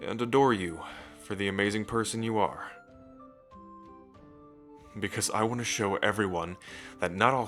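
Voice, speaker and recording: Dragon king for ASMR roleplay, male actor: lowish voice, doting, playfully regal, intimate and unhurried, music underneath. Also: fairly bright tone.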